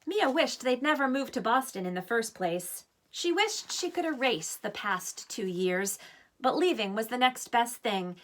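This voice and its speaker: A woman reads a children's novel aloud as its author. Her voice sits fairly high and bright, and her expressive narration moves with very lively pitch.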